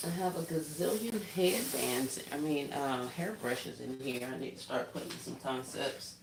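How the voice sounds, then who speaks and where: A soft-spoken woman, chatting casually with viewers on a livestream while she works on gift baskets. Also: a bass voice, moderate pace, creaky, noisy background. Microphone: phone set down while working.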